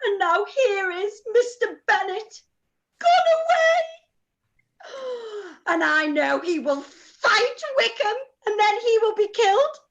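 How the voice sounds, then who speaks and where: A woman's voice: very high voice, animated, slow, muffled recording, heavily acted. A woman playing a hysterical, self-pitying middle-aged mother in a period radio play, wailing and gasping melodramatically. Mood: tearful panic. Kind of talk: live-read radio play acting of period drama.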